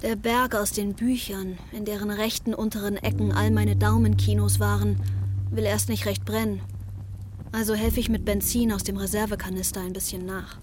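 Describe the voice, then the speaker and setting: A woman's voice: medium pitch, level tone, moderate pace, natural voice. Woman acting a wry, troubled young woman over noise. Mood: wry, matter-of-fact. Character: intense, troubled young woman narrating her own breakdown. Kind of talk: first-person radio play narration.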